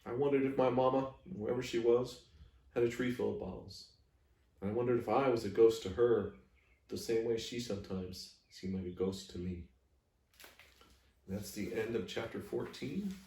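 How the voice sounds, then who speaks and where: A man's voice: mid-range voice, moderate pace, mellow. A man reading aloud as a library storyteller, in a storybook narration style. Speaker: library reader. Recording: echoey room, distant microphone.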